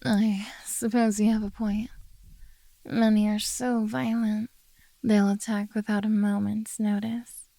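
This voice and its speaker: A woman playing a possessive, coaxing beastkin monster girl in a soft, teasing ASMR roleplay. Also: mid-range voice, flat delivery, takes it slowly, creaky, no room sound. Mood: soothing, sly.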